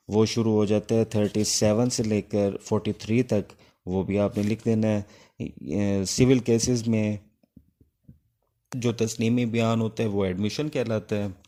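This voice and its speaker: Male Pakistani law teacher, low and soft-edged dark tone, explanatory, slightly halting delivery for an informal lecture. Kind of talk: informal exam-prep lecture in Urdu mixed with English legal terms.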